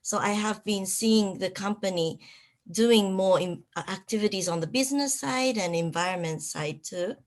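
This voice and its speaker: A woman from the private sector answering as a panelist in an online discussion, pausing thoughtfully with fillers. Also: medium pitch.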